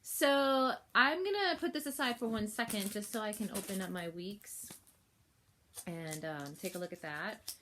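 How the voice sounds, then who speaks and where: A woman with a cold, sniffly, chatting casually through a planner unboxing at home while filming on her phone, rambling with fillers and soft laughs. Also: medium pitch, normal speed, slight background noise. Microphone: phone held while filming.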